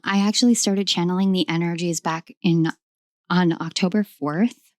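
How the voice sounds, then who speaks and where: A hoarse young woman giving a casual tarot reading at home, rambling and affectionate, with many ums. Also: on the low side, a little mellow, no room sound, studio quality. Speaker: tarot reader. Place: at home in a room.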